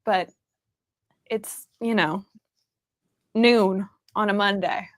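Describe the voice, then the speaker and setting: A woman hosting a casual livestream chat over a video call, relaxed and rambling. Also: mid-range voice, very slow, no room sound. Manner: relaxed and rambling, with frequent fillers.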